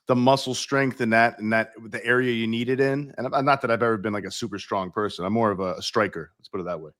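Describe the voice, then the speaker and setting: A male co-host with a slightly low voice. He chats casually in a relaxed manner and is quick with a joke. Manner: laid-back, joking. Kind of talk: casual chat.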